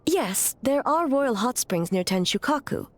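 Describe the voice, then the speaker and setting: A woman voicing a stoic, aloof immortal shogun in a video game, speaking in a formal, grave and measured way over music. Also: on the high side, sing-song. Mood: solemn, reflective. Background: background music.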